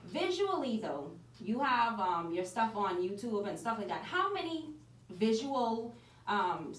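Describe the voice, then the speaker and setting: A woman's voice: fairly high pitch, very animated, a little slow. A Bahamian woman hosting a radio show, chatting in a casual, opinionated way that turns easily to laughter. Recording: roomy studio sound, distant from the mic.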